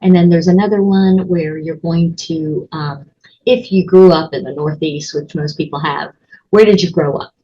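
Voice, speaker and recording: Mellow-voiced woman instructor, chatty. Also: deep voice, normal speed, dull audio. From Connecticut, USA. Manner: casual, chatty, many ums and restarts. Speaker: college instructor. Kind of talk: screen-recorded course walkthrough.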